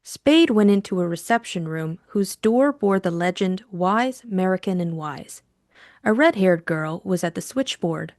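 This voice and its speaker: A woman's voice: lowish voice, lively intonation, measured pace, a little mellow, no echo. Woman narrating an audiobook, very dry sound.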